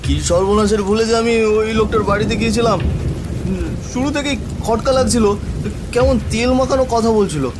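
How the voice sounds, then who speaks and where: A man's voice: high-pitched, brisk, noisy background, pitched above the performer's own voice, natural voice. A man voicing a frightened village man in a horror cartoon, whimpering and muttering melodramatically, with music, rain and thunder behind him. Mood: uneasy grumbling. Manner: fearful, whimpering, muttering to himself. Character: terrified village man lost in a stormy night.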